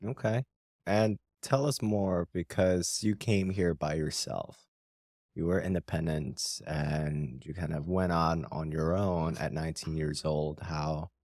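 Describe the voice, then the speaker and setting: A man hosting a casual podcast, talking in a relaxed, teasing way. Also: extremely low pitch, dry recording, clean studio sound. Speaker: podcast host. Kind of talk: casual podcast chat with scripted intro.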